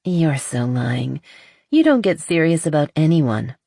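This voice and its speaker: Woman playing a blunt, husky, skeptical best friend. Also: deep voice, very animated, measured pace, dark tone, no room sound, heavily acted. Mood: teasing. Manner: blunt, dry, teasing. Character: blunt, brutally honest, skeptical, loyal female best friend.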